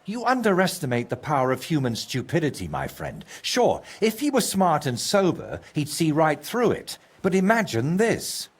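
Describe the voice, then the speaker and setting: An actor playing a troubled, scheming male painter in a video game, excitable and theatrical, with a husky voice. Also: fairly high pitch, moderate pace, hardly any pauses, heavily acted. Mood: gleeful scheming. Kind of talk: video game character dialogue.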